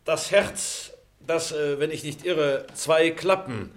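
A man's voice: fairly high pitch, takes it slowly. An actor playing a middle-aged man at a class reunion, wordy, pedantic and wryly formal in an acted drama. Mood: mock-formal. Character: pedantic, wry middle-aged former pupil debating a poem. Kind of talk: acted radio-play dialogue.

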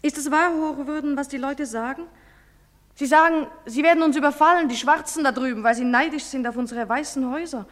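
A woman's voice: sits high, a little fast, lightly acted. An actress playing a proud, defiant nineteen-year-old girl who answers sharply and dismissively. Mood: anxious. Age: young adult. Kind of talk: stage play recording. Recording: long hall reverb.

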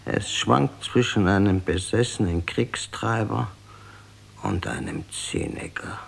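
An actor playing a bitter, weary old Austrian emperor in a radio drama, grumbling and brooding with an Austrian accent and a creaky, gravelly voice. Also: male voice, lowish voice, wildly swooping pitch, takes it slowly, full character voice. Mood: gloomy, resigned.

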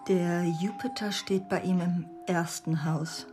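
An actress playing an astrologer who earnestly explains a birth chart in a radio play, measured and explanatory. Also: female voice, fairly low pitch, fairly even intonation. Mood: earnest, explaining. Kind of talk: monologue in a literary radio play. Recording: music under the voice.

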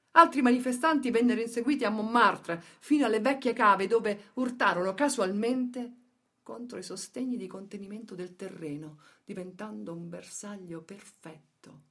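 An actress playing an indignant, accusing woman orator in a theatrical radio drama recitation. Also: on the high side. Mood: outraged, sarcastic. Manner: accusatory, theatrical, swinging from hushed to loud. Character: indignant woman orator accusing those who shot workers. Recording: music bed under the voice. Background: plucked guitar music.

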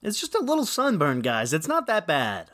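A man's voice: a little high, huge pitch swings, normal speed, fairly bright tone, no echo, clean studio sound. A man co-hosting a radio show, trading scripted comic banter.

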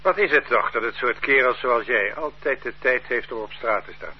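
An actor playing a stern interrogator who accuses and bullies his suspect in a radio drama, with a husky voice and curt manner. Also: medium pitch, normal speed, lightly acted. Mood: menacing. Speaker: interrogating official. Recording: dull lo-fi radio play recording.

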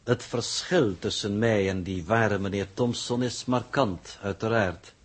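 A man's voice: fairly low pitch, husky. An actor playing a cold, unflappable impostor scientist in a 1960s radio drama, speaking formally and with smug superiority to his captors. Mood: smugly composed. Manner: formal, deliberate, smugly superior. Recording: muffled early 1960s radio recording.

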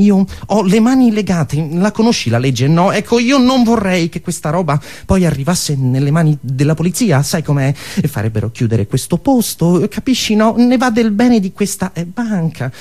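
A man's voice: on the high side, darkish tone. A man playing an awkward young banker boss in a theatrical monologue, hemming and hawing evasively as he speaks. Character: awkward, hesitant young banker boss, apologetically firing an employee.

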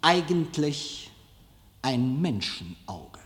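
A man giving a public lecture in a deliberate, halting way with dramatic pauses. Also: mid-range voice, sing-song. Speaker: film director giving a lecture. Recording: echoey hall, long reverb.

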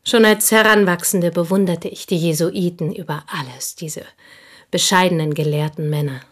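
An actress playing a plain, bitter woman in a radio play, confiding old envy in an intense, intimate way that often slips into breathy whispers. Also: lowish voice, fairly even intonation, normal speed, natural voice. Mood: bitter, reflective. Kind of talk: German radio play dialogue, intimate monologue.

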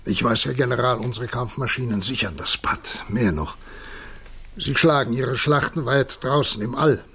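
An actor playing a grave, authoritative president in a 1960s German radio drama, speaking formally and deliberately. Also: male voice, medium pitch, sharp tone, slightly rough. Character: grave, authoritative male president of a future civilisation.

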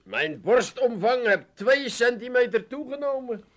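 Dim, earnest Amsterdam fitness nut; high, bright, whining and emphatic, for radio comedy. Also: male voice, expressive, measured pace, exaggerated voice. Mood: complaining. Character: dim, earnest fitness-mad man of the family. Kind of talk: comic radio play acting.

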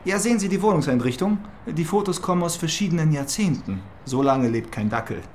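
An actor playing a snobbish young police detective in a radio crime drama, arch and impatient, with formal, sarcastic phrasing. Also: male voice, fairly high pitch, animated, a little fast, slight background noise, natural voice. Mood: amused, sardonic. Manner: pompous, sarcastic, formal wording.

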